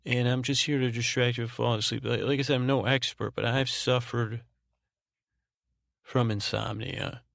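A man hosting a sleep podcast in a soothing drone, meandering and halting as he repeats himself and trails off to lull listeners. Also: medium pitch, little pitch movement, gravelly, dry recording, clean studio sound. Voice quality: soothing, soft-spoken drone. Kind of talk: rambling bedtime monologue meant to lull.

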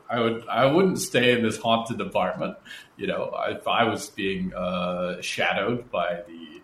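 A man hosting a conversational interview, speaking in thoughtful, measured, articulate phrases. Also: on the low side, fairly bright tone. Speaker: interviewer, podcast host. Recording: roomy echo with background noise.

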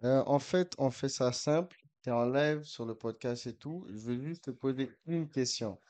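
A man's voice: mid-range voice, moderate pace, a little mellow. A man hosting a casual podcast, chatting in slangy mixed with English. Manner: slangy, mixing French and English. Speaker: podcast host. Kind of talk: casual chat, prank-style phone calls.

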